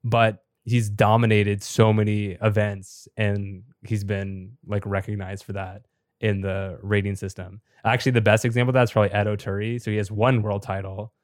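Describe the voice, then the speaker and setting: A man co-hosting a podcast who is a little sick and losing his voice, so he sounds slightly raspy, explaining things in a chatty way with many filler words. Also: fairly low pitch, level tone, moderate pace, no echo, studio quality. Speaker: podcast co-host and top competitive player.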